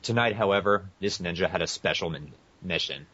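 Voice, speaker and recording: A man's voice: low voice, a little fast, bright, forceful tone. A man co-hosting a casual podcast, chatting with the others and sometimes reading aloud. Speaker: podcast co-host. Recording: muffled lo-fi internet podcast audio.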